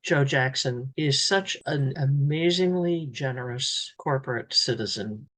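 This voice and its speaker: A woman's voice: rumbling low, measured pace, fairly bright tone. A woman hosting a radio interview, asking questions and giving announcements.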